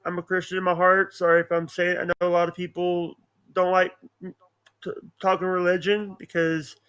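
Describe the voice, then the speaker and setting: A man with a high, bright and forceful voice, chatting as a podcast guest. His tone stays fairly level, and he speaks haltingly and earnestly, often repeating himself. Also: little pitch movement, clean tone. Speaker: podcast guest, online show creator. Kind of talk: casual chat.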